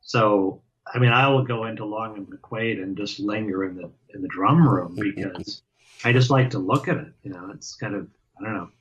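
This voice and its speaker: A man talking casually as an interview guest, halting and restarting often as he explains how he plays drums. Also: fairly low pitch, fairly bright tone, highs cut off, slight background noise. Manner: halting, many restarts and fillers. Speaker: guest drummer.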